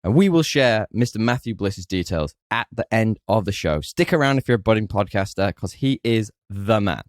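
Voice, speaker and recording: A hung-over man co-hosting a podcast, chatty and jokey, a bit raspy, talking to listeners. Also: lowish voice, animated, moderate pace, dry recording, studio quality. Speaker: podcast co-host. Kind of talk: casual podcast chat.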